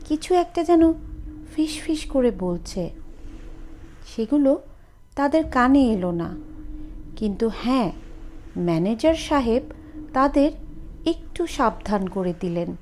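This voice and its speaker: A woman narrates a scripted horror story dramatically, with suspenseful, deliberate phrasing and pauses. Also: fairly high pitch, very animated, a little mellow, a little hiss or hum.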